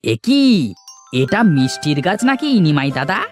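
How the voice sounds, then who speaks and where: Woman playing a very low-voiced, curious villager. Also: sing-song, unhurried, a little mellow, soft background music. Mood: surprised.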